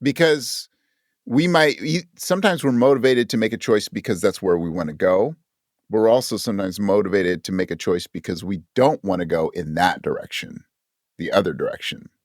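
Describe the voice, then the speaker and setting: Low-voiced man, thoughtful podcast guest. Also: no echo, studio quality. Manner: thoughtful, halting, rephrases as he goes. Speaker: interview guest, audio drama creator. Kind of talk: casual reflective interview talk.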